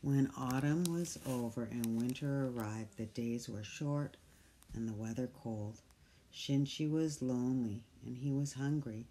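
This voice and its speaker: A calm reader takes a picture book at an unhurried pace. The tone is dark and mellow, gentle and soft-spoken, and now and then sinks into a hushed whisper. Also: medium pitch, slight background noise. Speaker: storybook reader. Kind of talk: slow picture-book read-aloud.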